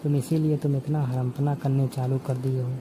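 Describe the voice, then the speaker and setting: This is a young man, speaking informally over the phone. His delivery is even and insistent, circling back over his phrases. Clear noise runs through the call recording. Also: medium pitch, normal speed. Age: 20s. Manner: insistent, repetitive.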